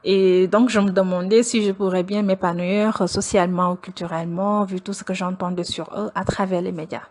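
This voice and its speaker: A woman's voice: mid-range voice, fairly even intonation, normal speed, a little mellow. A young woman from Senegal, a doctoral student, telling the story of her studies in an interview in an earnest, storytelling way. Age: young adult. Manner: earnest, storytelling with light laughs. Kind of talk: personal account in an interview.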